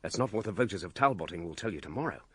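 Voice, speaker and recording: A man's voice: sits low, lively intonation, rapid-fire, husky, no echo, exaggerated voice. A British man playing an aggressive, sardonic political interviewer in a radio drama, confrontational and incredulous. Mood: combative. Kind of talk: BBC radio drama acting.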